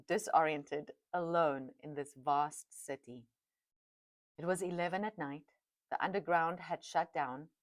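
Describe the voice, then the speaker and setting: Middle-aged lady reading her memoir at home, lowish voice, dry close sound. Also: no echo. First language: Afrikaans. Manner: reflective, with chatty asides. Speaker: podcast host and author. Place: home dining room.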